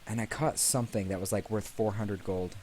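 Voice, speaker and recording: A man's voice: on the low side, fairly even intonation, a little fast, a little mellow, a little hiss or hum. A man doing casual, rambling, self-deprecating let's play commentary, often repeating his phrases. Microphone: desk microphone picking up the room. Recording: game music behind, desk mic. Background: game music.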